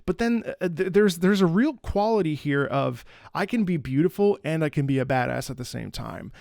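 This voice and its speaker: A man's voice: a little high, lively intonation, moderate pace, vocal fry, a little mellow, no echo, studio quality. An enthusiastic man commentating on video game music in a live stream reaction, breaking into sung syllables to imitate rhythms. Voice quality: trained opera singer's voice. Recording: music behind the voice.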